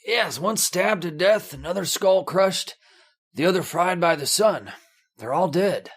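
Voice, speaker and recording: A man's voice: high voice, lively intonation, a little slow, fairly bright tone, slightly rough, full character voice. A man playing a dutiful, uneasy sailor who reports hesitantly and deferentially in a radio horror play. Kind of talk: old-time radio horror acting.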